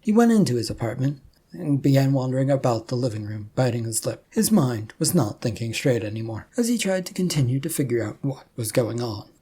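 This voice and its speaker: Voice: medium pitch, expressive, fairly quick, dark tone, husky. A narrator reading a scripted comic story aloud.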